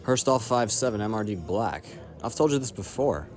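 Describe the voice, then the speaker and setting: A man acting as a hardened covert operative with a low voice. He is guarded and resolute, talking in short deadpan phrases like a spy-drama veteran. Music plays clearly underneath. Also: moderate pace, lightly acted. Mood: dry, confident. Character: guarded, battle-hardened male syndicate operative, dry and resolute. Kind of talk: dramatic audio roleplay acting.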